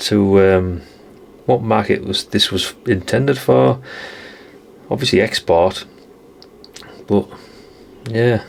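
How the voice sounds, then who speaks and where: British male hobbyist vlogger, fifties, gravelly low voice, rambling and informal, musing aloud with plenty of tag questions. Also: darkish tone, a little hiss or hum. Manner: musing, unhurried, frequent tag questions and self-corrections. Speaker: radio hobbyist presenting a video. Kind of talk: casual rambling vlog chat.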